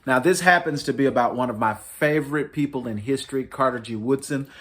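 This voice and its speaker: A man's voice: mid-range voice, fairly quick, fairly bright tone. A man hosting a story time, reading a picture book aloud to families in a dramatic, emphatic way. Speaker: children's storybook reader, host.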